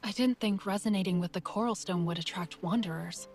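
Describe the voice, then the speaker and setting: An actress playing an earnest, curious, kind young heroine in an English fantasy game dub, over background music. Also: female voice, medium pitch, fairly quick, darkish tone, lightly acted. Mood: composed. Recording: background music under dialogue.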